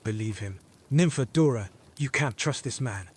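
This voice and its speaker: A man's voice: medium pitch, animated, moderate pace, a little mellow, husky. A man playing a hard-bitten, suspicious old wizard interrogator in an audio drama, scornful and commanding. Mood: distrustful. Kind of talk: fan audio drama acting.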